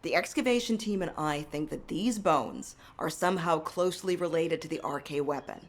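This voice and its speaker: An actress playing an earnest, curious excavation chief in a video game, with a conversational delivery. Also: female voice, deep voice, normal speed. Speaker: excavation team leader.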